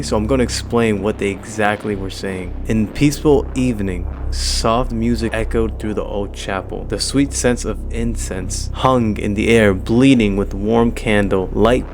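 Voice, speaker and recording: Low-voiced man giving explainer commentary. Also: slight background noise. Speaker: video host explaining a horror series. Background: prominent music.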